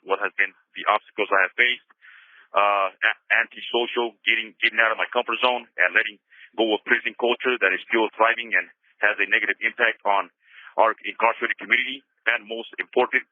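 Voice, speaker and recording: A man's voice: lowish voice, sharp tone, dry recording. A man from Yakima County, Washington, answering prepared questions and reading with stumbles and frequent "uh" fillers. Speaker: incarcerated cultural group member. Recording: tinny phone line.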